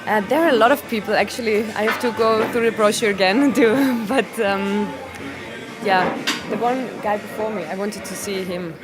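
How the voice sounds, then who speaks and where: Austrian-accented woman comic, mid-pitched and laughing, interviewed at a venue with music playing loud. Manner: laughs often, halting non-native phrasing. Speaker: stand-up comedian, interview guest. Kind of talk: casual interview answers.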